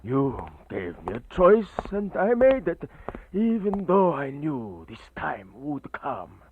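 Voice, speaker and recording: An actor playing a grave, resigned priest turned vampire, speaking in measured, theatrical radio horror style. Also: male voice, fairly high pitch, big pitch swings, slight background noise, heavily acted. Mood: resigned, rueful. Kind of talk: radio horror play acting. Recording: thin phone-like band-limited audio with music.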